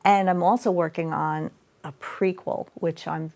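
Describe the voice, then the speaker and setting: A middle-aged woman chatting as a guest in a local studio interview. Her voice sits low and has a gravelly, creaky texture. She rambles warmly with plenty of fillers and restarts. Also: steady tone, measured pace. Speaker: author and guest. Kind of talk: casual interview chat.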